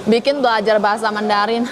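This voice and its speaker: A woman's voice: mid-range voice, flat delivery, brisk, a crowd in the background. A young woman from Kalimantan with a Hakka-influenced Indonesian accent, chatting casually with viewers on a live stream. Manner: chatty, addresses viewers as friends.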